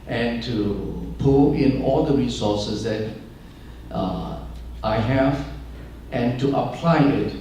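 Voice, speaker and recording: Older male pastor, Chinese first language, unhurried and halting, many fillers; distant mic in a booming room. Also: dark tone, heavy reverb, a little hiss or hum. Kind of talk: speech to an audience. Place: large room with an audience.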